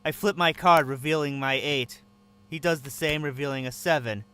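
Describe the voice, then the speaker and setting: A man's voice: on the high side, normal speed, bright, forceful tone, slight background noise. A man narrating in first person as a captive, with a tense, wary inner voice.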